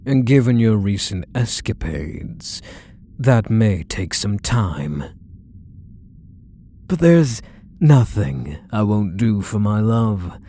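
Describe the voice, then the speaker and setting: A man playing a possessive, doting kidnapper obsessively in love, speaking in soft, coaxing, condescending endearments for an intimate ASMR roleplay. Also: on the low side, sing-song, slow, slight background noise. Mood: tender, controlling.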